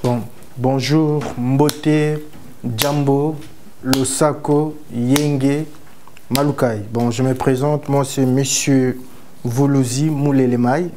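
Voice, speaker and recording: A man from Kinshasa, teaching a history lesson in a slow, halting way with many fillers. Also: medium pitch, fairly even intonation, warm tone, slight background noise. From DR Congo, Kinshasa. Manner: deliberate, halting delivery with fillers. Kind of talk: classroom lesson.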